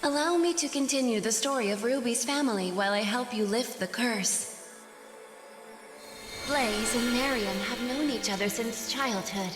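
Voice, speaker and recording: A woman's voice: fairly high pitch, normal speed, natural voice. A woman voicing a solemn magical spirit who narrates a tale in a fantasy game, with echoing reverb on her voice. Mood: solemn storytelling. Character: solemn magical female storytelling spirit of an enchanted amulet. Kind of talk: scripted storybook narration. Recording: long echoing reverb over music.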